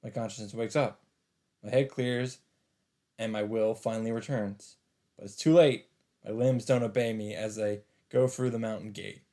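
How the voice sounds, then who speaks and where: A man's voice: lowish voice, steady tone, normal speed, mellow. A man reading a visual novel aloud with dramatic delivery as a let's player, with faint game ambience behind him.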